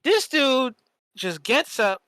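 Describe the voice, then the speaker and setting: A man's voice: very high-pitched, very animated, slow pace, bright, forceful tone, clean tone, no room sound, studio quality. A man chatting casually as a podcast host, telling stories in an emphatic, profane way and repeating phrases for effect. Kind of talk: casual chat, animated storytelling.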